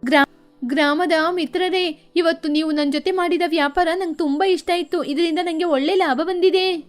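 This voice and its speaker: A woman acts out a wise cartoon duck trader with a very high voice. She addresses the villagers in a warm, speech-making manner, with music underneath. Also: steady tone, normal speed. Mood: cheerful. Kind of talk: animated moral story dialogue for children.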